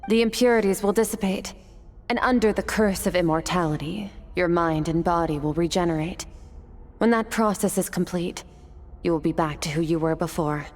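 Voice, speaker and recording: A woman playing an ageless warrior with a cool, aloof temper, her voice low and husky. She speaks in calm, detached, measured lines, musing over grand ideas. A video game character with faint music behind her. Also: natural voice. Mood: stern. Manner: calm, detached, philosophical delivery.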